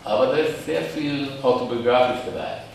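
A man, musing slowly and wryly as a guest author in a café discussion, often correcting himself. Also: medium pitch, gravelly, slight background noise. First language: German. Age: 80s. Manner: slow, musing, self-correcting, wry. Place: crowded café, indoors. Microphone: distant camera mic. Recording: echoey room from far off.

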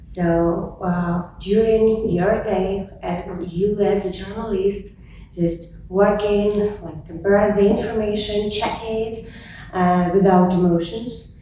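A woman's voice: mid-range voice, mellow, distant mic, slight background noise. A Ukrainian woman who edits a news website, speaking English with a Ukrainian accent, hesitant and full of fillers. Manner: hesitant, simple phrasing with fillers. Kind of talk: interview answers in English. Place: large conference room indoors. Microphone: distant mic, seated wide shot. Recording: echoey room, mic set off.

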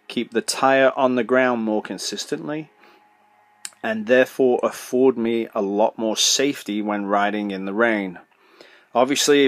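A middle-aged man talks straight into a close podcast microphone, practical and direct, asking questions and answering them himself. His voice sits at an ordinary male pitch. The recording is dry, with no room sound. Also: dry recording. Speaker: podcast host, motorcycle suspension tuner. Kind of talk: solo podcast advice talk.

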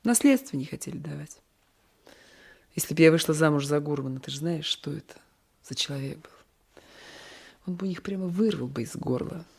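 Radio play: anguished young peasant wife, raspy with some fry, breathy, emotional. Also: female voice, deep voice, animated, normal speed, long pauses, a little mellow, exaggerated voice. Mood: bitter, brusque. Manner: breathy, emotional, drops into whispered asides. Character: troubled young peasant wife hiding an old love. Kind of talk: Soviet radio play acting. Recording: 1980s radio drama recording.

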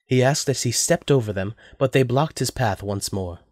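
A man's voice: medium pitch, normal speed, darkish tone, no echo, clean studio sound. A man narrating a scripted story as the narrator of an audio drama.

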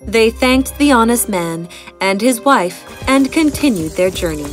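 A woman's voice: a little high, lively intonation, measured pace. A woman reading a scripted fairy tale as a storyteller. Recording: music bed behind the narration.

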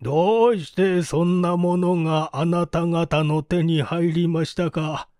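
Guy doing a dignified old man in a mystery audiobook, kinda high voice, slow n formal, really dry sound. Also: steady tone, a little mellow, no echo. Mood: puzzled. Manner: formal, measured, firm. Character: dignified old male village elder denying accusations under questioning. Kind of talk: audiobook character reading.

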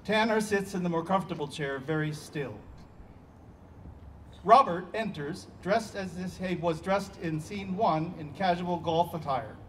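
A man's voice: sits high, measured pace, a bit bright. A man giving scripted narration, reading out stage directions at a play reading. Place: outdoor stage. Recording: distant outdoor pickup, faint noise.

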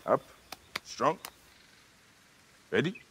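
A man's voice: drawn-out words, heavy background noise. An actor playing an Egyptian hunter and father in a video game, patient and teasing but fierce when provoked. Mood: playful, warm. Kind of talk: dramatic game cutscene acting.

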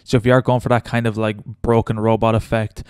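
A man presents a casual software tutorial. He talks briskly in a smooth, clean voice, explaining each step informally. The sound is close and dry. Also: fairly low pitch, little pitch movement, no room sound. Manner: informal, instructional. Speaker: tutorial host.

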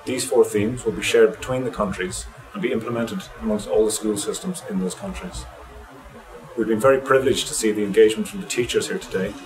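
A man in his thirties, a programme director, giving a steady, measured statement straight to camera outdoors. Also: on the low side, low-quality recording. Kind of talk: scripted interview to camera. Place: outdoors by a building with shrubs. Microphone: close mic, framed head and shoulders. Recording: music bed under the voice.

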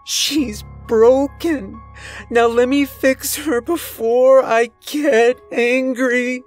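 A performer voicing a deranged, desperate and violent man in a dramatic horror story, agitated and hoarse. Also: slow pace, faint music behind, lightly acted. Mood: angry, threatening. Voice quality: hoarse shouting. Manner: agitated, booming, pleading.

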